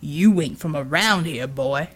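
A woman voicing a heavy-set, bullying male gang leader with a slow, taunting drawl in an audiobook. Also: low-pitched, breathy edge, pitched below the performer's own voice.

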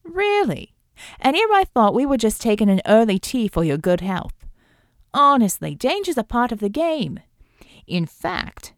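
A woman voicing a cheerful, cocky male flying operative, warm and teasing, full of breezy banter, in an audiobook adventure. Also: fairly low pitch, lively intonation, normal speed, no room sound, studio quality, voice pushed down. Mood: exasperated teasing.

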